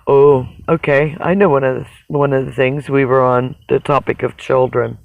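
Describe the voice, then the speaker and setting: A person with a raspy voice talks in a casual, rambling livestream monologue, halting and reflective, often pausing mid-sentence. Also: mid-range voice, fairly even intonation, dry recording. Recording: dull, narrow phone-like sound.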